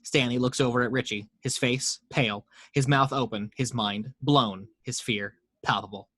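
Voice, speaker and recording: A man's voice: mid-range voice, steady tone, moderate pace, fairly bright tone, dry recording. A man reading the action lines of a screenplay aloud at a home table read, steadily, voicing the sound effects. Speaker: narrator reading action description.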